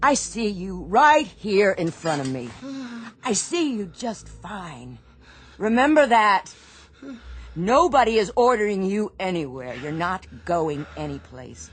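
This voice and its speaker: A woman playing a no-nonsense Navy ship's doctor in a radio drama, husky, firm and insistent. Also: medium pitch, big pitch swings, slow pace, a little hiss or hum. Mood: firm reassurance.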